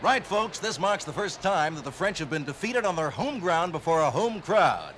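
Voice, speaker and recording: A man playing an excitable TV sportscaster who gives brash, booming play-by-play. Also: normal speed, a little hiss or hum. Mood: excited. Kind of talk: mock sports commentary.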